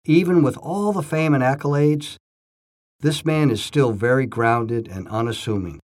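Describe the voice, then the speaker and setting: A man's voice: mid-range voice, a little slow. Man narrating an inspirational true story.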